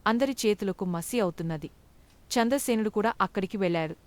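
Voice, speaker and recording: A woman's voice: mid-range voice, brisk, darkish tone, no room sound. A woman narrating a scripted moral story for children as a storyteller.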